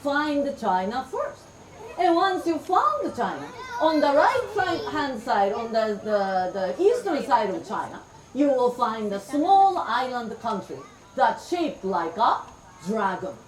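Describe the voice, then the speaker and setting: A middle-aged woman from Japan, telling stories to children in a slow, clear way and getting them to repeat words. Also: fairly high pitch, big pitch swings, crowd noise. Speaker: storyteller. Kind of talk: interactive storytelling for children. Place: room with a live child audience. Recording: roomy, distant sound with faint background noise.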